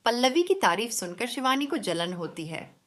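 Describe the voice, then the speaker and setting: A woman's voice: a little high, sing-song, moderate pace, a bit bright. A woman narrating a scripted story as the storyteller.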